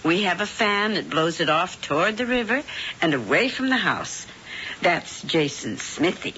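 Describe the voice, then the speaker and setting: A woman's voice: lowish voice, expressive, measured pace, sharp tone. An actress playing a scheming middle-aged witch who poses as a welcoming landlady, in a 1970s radio drama. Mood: sugary. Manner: sugary and falsely welcoming. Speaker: Missus Warlock. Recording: dull old radio broadcast with faint hiss.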